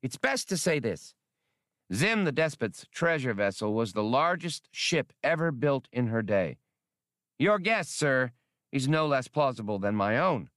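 A man's voice: medium pitch, sing-song, measured pace, a bit bright, dry recording, studio quality, pitched above the performer's own voice. A man voicing a prim, learned alien academic with a formal, pedantic manner. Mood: politely scholarly.

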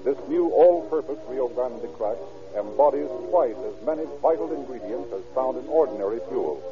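A man announces in a polished, emphatic 1930s radio style. His mid-pitched voice is formal, with clear music in the dull, band-limited old broadcast recording.

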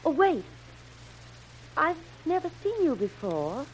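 Brief: high-voiced captive heroine, nervous yet imperious, swooping melodramatic delivery, stammers, noisy vintage radio serial. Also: female voice, big pitch swings, takes it slowly, exaggerated voice. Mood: suspicious, probing. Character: frightened but commanding young woman held captive, suspicious. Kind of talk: 1930s radio acting. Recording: noisy old radio recording.

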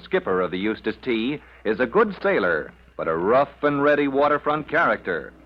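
A man narrating an old-time radio serial as its announcer, in a dramatic, formal scripted style. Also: mid-range voice. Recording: dull, noisy old radio recording.

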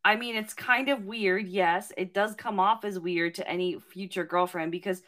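A woman's voice: medium pitch, fairly even intonation, fairly bright tone. Hesitant woman guest on a podcast. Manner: hesitant, drawn-out opinions with many ums. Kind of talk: casual podcast chat.

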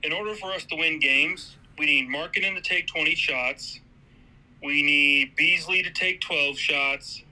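Bright-voiced male fan calling in. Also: fairly high pitch, unhurried, poor audio. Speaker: caller, fan guest. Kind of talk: casual fan talk.

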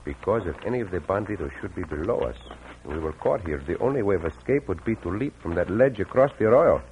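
A bold Mexican cowboy hero of a radio western. He speaks very quickly, in formal, commanding phrases dotted with Spanish. The old recording is muffled and noisy. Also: sits low, lively intonation. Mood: cautious.

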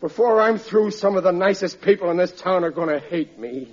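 High-pitched blustering working-class husband. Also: male voice, lively intonation, fairly quick. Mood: sulky, bitter. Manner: blustering, colloquial, grumbling. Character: gullible working-class family man, sulky, blustering, self-pitying. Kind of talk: 1940s radio sitcom acting. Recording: muffled old radio recording, audience behind.

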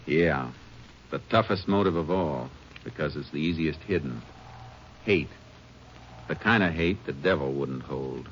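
An actor playing a calm, shrewd Texas Ranger in a 1950s radio drama, speaking in a terse, deliberate way. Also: male voice, very deep voice. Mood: grim, probing. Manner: terse, deliberate, matter-of-fact. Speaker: lead actor and narrator. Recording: noisy, muffled 1950s radio recording.

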